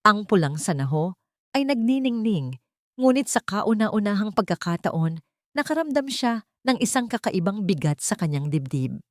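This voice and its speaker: A woman's voice: medium pitch, sing-song, moderate pace, no room sound, studio quality. A woman reading scripted fiction as a dramatic audio story narrator.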